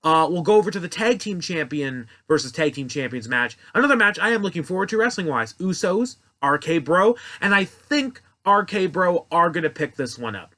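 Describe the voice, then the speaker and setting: A man's voice: on the high side, sing-song, normal speed, hardly any pauses, bright, forceful tone. A young man talking casually and rambling through his predictions, correcting himself as he goes. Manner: rambling, offhand, self-correcting. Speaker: wrestling prediction vlogger. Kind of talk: casual solo commentary.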